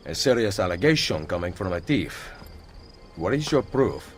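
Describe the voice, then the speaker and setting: Shrewd italian assassin boss in a game cutscene, composed but lots of intonation, music under it. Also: male voice, deep voice, sing-song, normal speed. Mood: firm. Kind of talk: video game cutscene acting.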